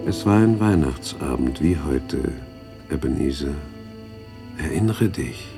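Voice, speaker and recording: A man voicing a grave, knowing spirit guide with a measured, solemn delivery in a 1960s German radio drama. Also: extremely low pitch, expressive, music in the background, heavily acted. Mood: solemn, guiding.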